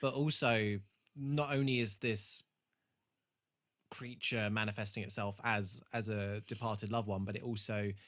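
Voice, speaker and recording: A man's voice: lowish voice, steady tone, unhurried, a bit bright. Sweary man chatting casually. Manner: sweary, dry, emphatic. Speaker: podcast co-host. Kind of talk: casual chat, TV re-watch commentary.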